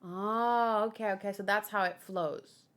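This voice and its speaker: A woman doing an emotionally expressive read-along reaction, gushing and gasping as she goes. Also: mid-range voice, measured pace. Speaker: manga reader reacting on video.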